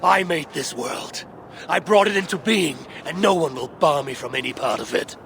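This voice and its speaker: A man's voice: on the high side, moderate pace, gravelly, a little hiss or hum. A man playing a proud, defiant Norse father god in a dramatic video game cutscene, grave and declamatory. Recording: loud music over the voice.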